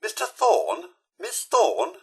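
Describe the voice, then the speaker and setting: A man's voice: very high-pitched, very animated, takes it slowly, gravelly, fairly bright tone, full character voice. A man playing a polite British robot butler in a video game, formal and prim, with exaggerated enunciation. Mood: formal, courteous. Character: polite, prim robot butler, male-voiced, eager to serve. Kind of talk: video game voice lines, names called out formally.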